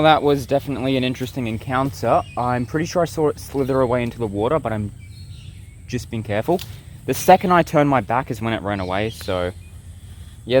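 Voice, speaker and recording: Young male vlogger, casual and rambling, outdoor handheld sound with insect noise behind. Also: medium pitch. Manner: rambling, relaxed, talks straight to viewers. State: sick, blocked nose and sore throat. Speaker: bushcraft vlogger. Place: outdoors in the bush.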